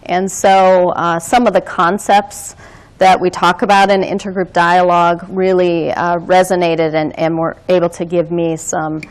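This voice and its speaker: A woman who trains dialogue facilitators, speaking in a measured, reflective way with frequent pauses. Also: on the low side, little pitch movement. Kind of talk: reflective conversational talk.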